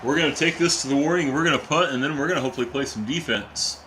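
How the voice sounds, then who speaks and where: A young adult man, a teacher by day, giving casual, excitable live-stream commentary while playing a football video game and chatting with viewers. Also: on the high side, quick pace, a little hiss or hum. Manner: excitable, chatty, reacts to plays and reads chat aloud.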